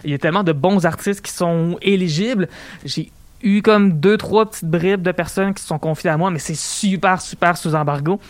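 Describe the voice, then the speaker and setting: A young man with a Quebec French accent hosting a radio show, chatting casually with jokes and quick asides. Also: sits high, no room sound. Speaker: radio host. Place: radio studio. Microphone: studio microphone.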